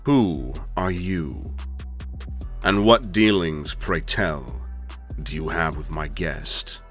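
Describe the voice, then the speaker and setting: A man's voice: low-pitched, slow, a bit bright, faint music behind. A man playing a courteous, commanding werewolf pack leader in a fantasy audio drama, speaking formally. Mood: wary, commanding. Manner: formal, authoritative. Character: lordly male werewolf alpha, courteous and commanding. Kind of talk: scripted fantasy audio roleplay.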